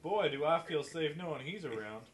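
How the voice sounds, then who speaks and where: Man as a bossy small-town newspaperwoman, slightly high. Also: animated, moderate pace, lightly acted. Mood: wry, gossipy. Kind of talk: comic amateur radio play reading.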